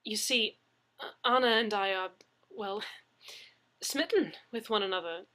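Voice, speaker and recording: A woman's voice: medium pitch, a little slow, sharp tone. A woman voicing a shy, polite English man in a story, awkward, hesitant and stammering. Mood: sheepish, hesitant.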